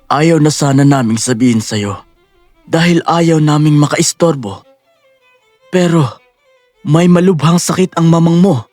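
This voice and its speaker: Woman acting elderly Filipino audio-drama father, low pitched, gentle, worried, halting, dry close sound, music behind. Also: no room sound. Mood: reluctant, heavy.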